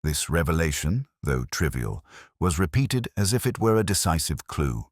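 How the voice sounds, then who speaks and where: A man tells a cozy mystery with wry, unhurried storytelling. His voice is very deep, yet his pitch rises and falls with lively expression. The recording is dry and close, with no room sound. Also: no echo. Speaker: narrator. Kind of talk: scripted mystery narration.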